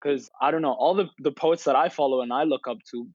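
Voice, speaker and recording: A man's voice: mid-range voice, quick pace, dry recording, muffled recording. A man in his twenties, a guest on a podcast, talking openly and reflectively with many "you know" fillers. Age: 20s. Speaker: podcast guest, poet and influencer. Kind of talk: casual interview chat.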